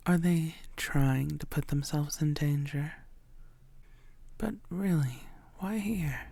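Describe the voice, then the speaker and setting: A woman playing an infatuated, predatory female vampire in an intimate audio roleplay, murmuring in a deliberate, theatrical and often breathy way. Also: low voice, dark tone, no echo, exaggerated voice. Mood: brooding, enchanted.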